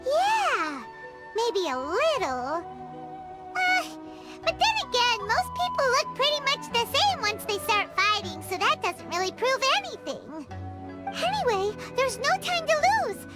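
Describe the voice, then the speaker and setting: A tiny chirpy fairy girl, very high and squeaky, her pitch bouncing all over. She chatters along, quick to get indignant. Loud game music plays behind her. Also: big pitch swings, exaggerated voice. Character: small chirpy female fairy companion.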